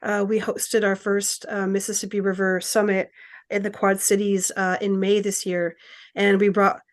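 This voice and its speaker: A woman's voice: medium pitch, level tone, normal speed. A woman speaking as a community organizer on a conference panel, talking hesitantly with many fillers between phrases.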